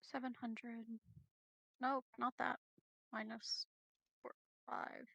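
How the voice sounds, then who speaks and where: A woman plays in a tabletop game, chatting casually. Her voice is fairly high and bright with a crackly fry, and she speaks slowly in a flat line. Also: no room sound. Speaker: player.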